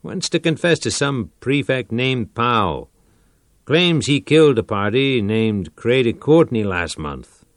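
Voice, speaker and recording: A gruff police officer with a blunt, tough way of talking, as a narrator puts him on. He is louder and a bit higher than the narrator's calm voice, in a dry radio drama. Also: a little slow, dry recording, lightly acted. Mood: curt. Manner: blunt, tough street talk.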